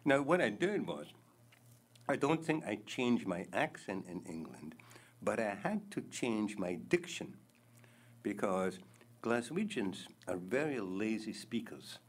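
An older man with a Scottish accent explaining things deliberately in a casual chat, the host's father. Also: lowish voice, very animated. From Scotland.

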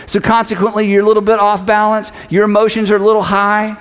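A man's voice: sits high, monotone, fast talker, clean tone. A middle-aged man giving a conversational sermon as a pastor, speaking emphatically and repeating key phrases. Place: large hall, preaching to an audience. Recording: reverberant large hall.

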